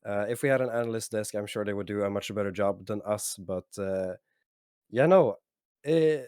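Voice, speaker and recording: A man casting esports, giving a casual, halting post-game analysis full of fillers. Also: fairly low pitch, darkish tone, clear, resonant tone, dry recording, clean studio sound. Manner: hesitant, many fillers and restarts, measured. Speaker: esports caster.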